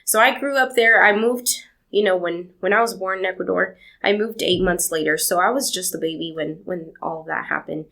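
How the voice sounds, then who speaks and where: Young woman from argentina chatting about her life, bright tone with a lot of fry, kind of rambly. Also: on the low side, moderate pace, few pauses. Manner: warm, rambling storytelling with laughs. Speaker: podcast guest. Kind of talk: interview.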